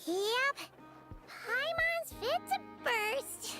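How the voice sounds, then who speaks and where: Squeaky, very high-pitched tiny fairy companion. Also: female voice, animated, very slow, full character voice. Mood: gleeful. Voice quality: squeaky, childlike. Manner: exclamatory, third-person chirping. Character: tiny excitable gluttonous floating fairy companion, female. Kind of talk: video game voice acting. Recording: game music underneath.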